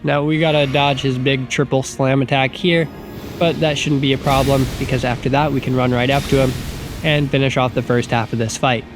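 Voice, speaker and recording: A man of ordinary pitch talks through his video game run in a relaxed, chatty way, sounding nasal and stuffed up from an oncoming cold. He pokes fun at his own skill and gets openly exasperated at times, like a casual voice-over recorded at home. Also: a little fast, over music. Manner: chatty, self-deprecating, exasperated asides. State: getting sick, congested. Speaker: gaming YouTuber. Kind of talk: casual gameplay commentary voice-over.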